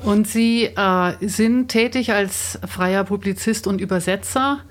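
Radiomoderatorin mit mittlerer Stimmlage, tastend formulierend. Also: female voice, medium pitch, takes it slowly. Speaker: radio host interviewing a studio guest. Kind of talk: radio interview, semi-spontaneous moderation. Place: radio studio. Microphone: studio microphone.

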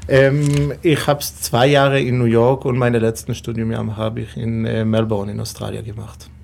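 A man's voice: medium pitch, level tone, normal speed, gravelly, a little mellow. A man from Israel whose first language is Hebrew, speaking German with an Israeli accent in an interview, hesitant with many fillers and non-native phrasing. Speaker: rabbi. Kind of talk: interview answers.

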